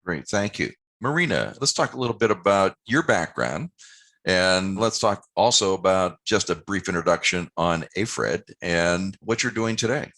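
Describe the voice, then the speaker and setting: Very deep, bright-voiced male host. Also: lively intonation, normal speed. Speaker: podcast host. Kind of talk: interview hosting.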